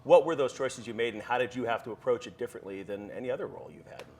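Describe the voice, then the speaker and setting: A man's voice: medium pitch, rapid-fire, a little mellow, a little hiss or hum. A man interviewing an actor, asking long questions with stumbles and repeats. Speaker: entertainment interviewer.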